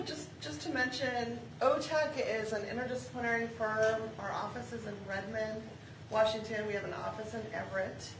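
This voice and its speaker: Loud, friendly planner woman in an echoey room. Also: a little high. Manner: loud, friendly, self-deprecating. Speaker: project manager and planner. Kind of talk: public meeting presentation. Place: meeting room. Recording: echoey room, distant mic, background noise.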